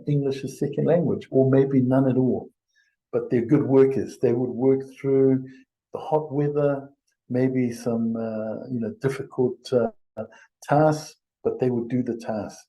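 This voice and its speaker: A very dark, soft-edged male voice. He presents informally, as in a webinar, pausing often and feeling his way through sentences in a conversational manner. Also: mid-range voice, creaky, poor audio. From Samoa. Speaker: health and safety presenter.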